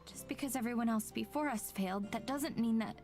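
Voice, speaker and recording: A woman's voice: fairly high pitch, fairly quick, a little mellow, lightly acted. Woman acting a vulnerable, stubborn young woman over music. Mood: brave, defensive. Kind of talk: visual novel voice acting. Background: prominent game music.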